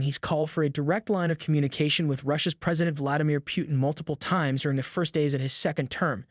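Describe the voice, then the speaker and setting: A man's voice: fairly high pitch, no room sound, clean studio sound. A man reading a news article aloud as scripted narration.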